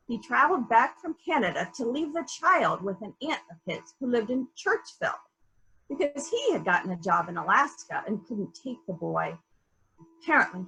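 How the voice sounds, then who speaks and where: A woman playing a brisk, suspicious police investigator questioning witnesses in a dramatic murder mystery reading. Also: a little high, gravelly, pushed and bright, exaggerated voice, poor audio. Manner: probing, businesslike, sceptical. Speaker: library staff member reading a part.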